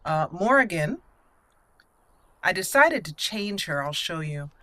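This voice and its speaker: Lower-pitched woman narrating a video game. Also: sing-song, a little slow, sharp tone, no echo. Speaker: gameplay host. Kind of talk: casual let's play commentary with roleplay storytelling.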